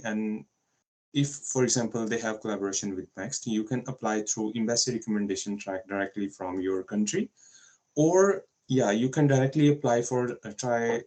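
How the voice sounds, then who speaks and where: Low-voiced man explaining scholarship tracks. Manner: steady, informative. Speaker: scholarship advisor. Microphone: video call.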